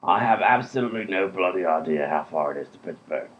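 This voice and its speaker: Man playing a low-voiced pedantic robber. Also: lively intonation. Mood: pedantic, deadpan. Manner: deliberate mock-reasoning. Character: pedantic, long-winded, clueless male robber. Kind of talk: comic radio play acting. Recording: muffled lo-fi sound, music behind.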